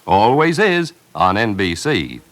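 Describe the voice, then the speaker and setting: Lively American network radio announcer man. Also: on the low side, takes it slowly. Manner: polished, jaunty salesmanship. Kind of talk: 1950s radio promo, scripted.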